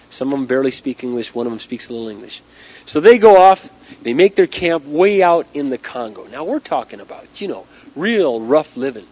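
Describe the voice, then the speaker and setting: A man's voice: mid-range voice, a little mellow. A man who is losing his voice, telling a story dramatically and conversationally as an inspirational speaker. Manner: dramatic, conversational, acting out dialogue. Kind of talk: storytelling talk to a live audience. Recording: dull lo-fi talk recording.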